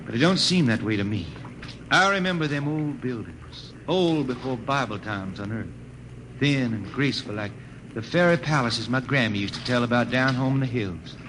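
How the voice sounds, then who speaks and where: A man's voice: medium pitch, animated, normal speed, close to an everyday voice. An actor playing a blind, wistful drifting spaceman balladeer with a rural Ozarks accent in a 1950s radio drama. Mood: dreamy, wistful. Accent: rural Ozarks American. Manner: folksy, wistful. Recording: noisy old 1950s radio broadcast.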